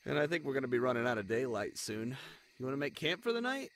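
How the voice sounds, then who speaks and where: A man voicing a young spider adventurer and secret lost prince in a fantasy audio roleplay, snarky, anxious and haltingly flustered. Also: mid-range voice, very animated, close to an everyday voice. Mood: easygoing, chatty. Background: crickets, night forest ambience.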